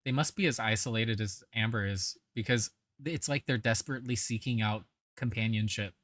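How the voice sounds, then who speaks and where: A woman's voice: very deep voice, brisk, no room sound. Sarcastic woman, reaction commentary, close dry mic, scornful edge.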